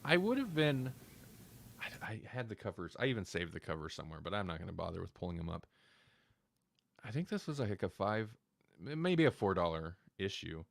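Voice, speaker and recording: A man's voice: deep voice, big pitch swings, brisk, creaky, no room sound. A man co-hosting a podcast, talking through a casual review in a hedging, think-aloud way. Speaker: podcast co-host.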